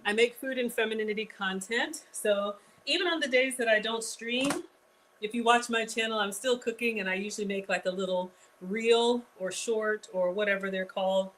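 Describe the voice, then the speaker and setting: A woman's voice: mid-range voice, moderate pace, a bit bright, slight background noise. A woman hosting a live-streamed cooking class in a home kitchen, chatting straight to her viewers with casual asides. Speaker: cooking show host.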